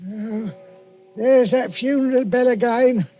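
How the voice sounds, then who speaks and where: Casting: whining Cockney grave-robbing sidekick, very high voice, nervy, old radio drama, dull sound. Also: male voice, slow, soft background music, exaggerated voice. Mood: uneasy. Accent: English, working-class Cockney. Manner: nervous, complaining. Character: jittery, whining male grave robber sidekick. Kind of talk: melodramatic radio drama acting. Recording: muffled, noisy old radio drama recording.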